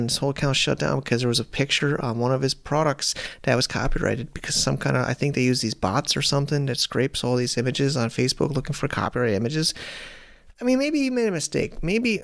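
A man in his late thirties vents to the camera in a casual vlog. His voice has a gravelly, fry-edged texture, and he repeats himself and tags sentences with "man" as his exasperation builds. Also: medium pitch, lively intonation, fast. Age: late 30s. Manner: exasperated, repetitive ranting with frequent "man". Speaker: internet marketer.

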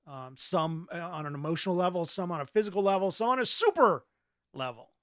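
A man speaking as an author in a casual interview, giving earnest answers in a thoughtful, halting way. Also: high voice, expressive, a bit bright, no echo, clean studio sound.

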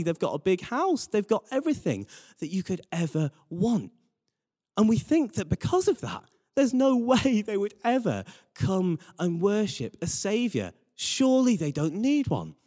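A man's voice: high-pitched, sing-song, moderate pace, warm tone. A man preaching a sermon in an earnest manner, stressing his points with repeated phrases. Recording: reverberant hall.

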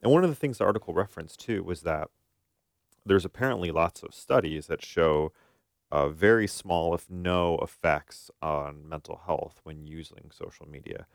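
Very deep-voiced man, casual podcast talk. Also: moderate pace, vocal fry, no echo, studio quality. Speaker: podcast co-host, therapist. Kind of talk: casual discussion.

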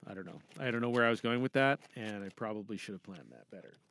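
A man's voice: on the low side, fast talker, fairly bright tone. A man hosting a podcast with casual, chatty, playful and theatrical talk. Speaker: podcast host. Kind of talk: casual, chatty show introduction.

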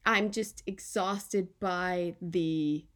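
A woman's voice: lowish voice, steady tone, very slow, a bit bright. A woman hosting a podcast interview, chatty and enthusiastic, peppering her talk with "like". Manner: enthusiastic, heavy use of "like". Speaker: podcast host. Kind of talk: casual interview chat.

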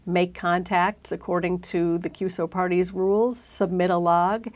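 A woman's voice: lowish voice, little pitch movement, moderate pace, a little mellow. A woman from New England hosting an interview, recapping points in a friendly way. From New England, USA. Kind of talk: podcast interview.

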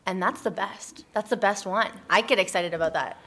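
A woman chatting casually and playfully as a guest on a talk show, with an informal, animated way of speaking. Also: mid-range voice, normal speed. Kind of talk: casual, animated group chat.

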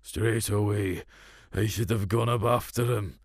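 A man voicing a regretful old father in a subdued, halting manner. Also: fairly low pitch, steady tone, no room sound, clean studio sound. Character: dying old Welsh father, regretful and grave.

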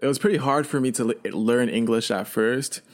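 A man in his twenties, a native Chinese speaker raised in Canada, telling his story as a podcast guest in a relaxed, rambling way. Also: medium pitch, a little fast. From China, raised in Canada. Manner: relaxed, rambling, frequent fillers and restarts. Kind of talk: casual storytelling.

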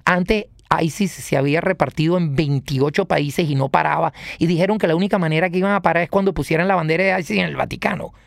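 Venezuelan male, high register with some creak, emphatic political talker who leans on rhetorical questions. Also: fast, few pauses, no echo, clean studio sound. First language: Italian. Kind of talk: political rant in conversation.

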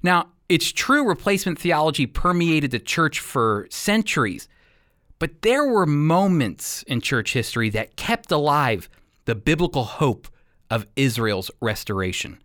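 A man's voice: a little high, very animated, unhurried, a bit bright, no echo. Male religious radio host, dry close sound. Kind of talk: conversational religious radio teaching.

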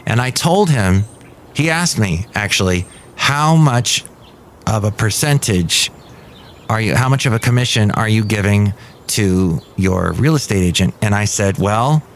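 A man's voice: lowish voice, animated, unhurried, a little hiss or hum. Chatty middle-aged man rambling in a café. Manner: chatty, self-interrupting, drifts off topic. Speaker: podcast host. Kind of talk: rambling casual monologue.

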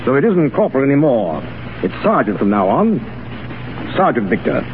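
Bluff, animated Legion commandant. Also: male voice, medium pitch, moderate pace, music in the background. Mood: curt. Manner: gruff, hearty, authoritative. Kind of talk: 1930s radio acting. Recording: tinny, noisy 1930s radio recording.

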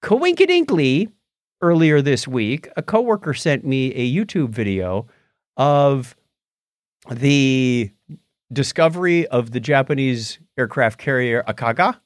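A man's voice: mid-range voice, big pitch swings, a little slow, dry recording, clean studio sound. A middle-aged man co-hosting a podcast, recounting things casually and often repeating the starts of his phrases. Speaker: podcast co-host. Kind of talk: casual chat, recounting films.

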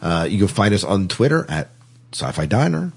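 A man's voice: lowish voice, sing-song, normal speed, gravelly, breathy edge. A man hosting a podcast in a casual, chatty style. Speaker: podcast host. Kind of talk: casual podcast wrap-up chat.

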